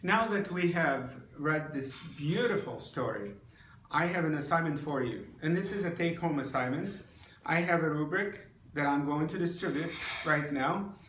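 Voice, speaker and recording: A man teaching a class, giving deliberate, encouraging instructions to students in an indoor room. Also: on the high side, animated, fairly bright tone, a little hiss or hum. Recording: echoey room.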